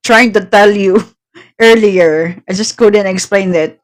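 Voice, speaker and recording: A woman's voice: medium pitch, slow pace. A woman from the Philippines giving casual reaction commentary, conversational, with murmured acknowledgements and short asides. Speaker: reaction video host.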